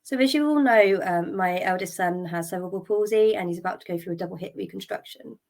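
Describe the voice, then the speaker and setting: A middle-aged woman, hosting a solo podcast, a little croaky from a cold, talking openly and a bit wearily, with ums and restarts. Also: medium pitch, quick pace, a little mellow. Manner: open and candid, thinking aloud, with ums and restarts; tired and drained. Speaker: podcast host. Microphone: podcast microphone.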